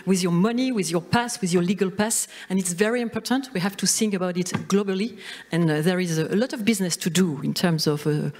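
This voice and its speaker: A woman's voice: mid-range voice, a little mellow. A woman on a conference panel speaking earnestly and emphatically, repeating her key points, into a handheld mic. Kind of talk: panel discussion. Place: conference stage.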